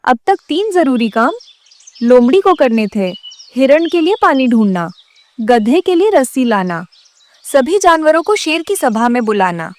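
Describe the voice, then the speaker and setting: A woman's voice: sits high, sing-song, unhurried. A woman narrating a scripted children's cartoon story.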